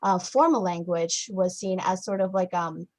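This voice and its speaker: A woman's voice: lowish voice, normal speed, a little mellow. Friendly young woman moderating. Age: young adult. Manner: friendly, affirming, some hesitations. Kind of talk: casual moderating.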